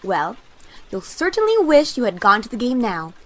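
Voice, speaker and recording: A woman playing a gossipy, bustling, teasing young relative in a period audio drama, speaking with brisk dramatic emphasis. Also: on the high side, huge pitch swings. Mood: teasing, excited.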